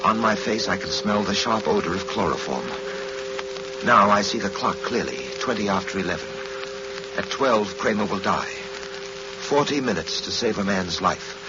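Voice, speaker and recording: An actor playing a grimly determined hard-boiled detective, narrating tersely and dramatically in an old-time radio noir. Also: male voice, on the low side, animated, normal speed, lightly acted. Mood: grim, urgent. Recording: very noisy, muffled vintage radio recording.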